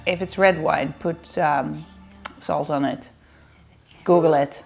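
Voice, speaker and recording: Dutch lady on a couch answering fan questions, kinda low voice, chatty and jokey, a bit of music behind. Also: fairly even intonation, slow pace, gravelly. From Netherlands. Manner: chatty, playful, laughs often. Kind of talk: casual chat to camera. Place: indoor living room, seated on a sofa.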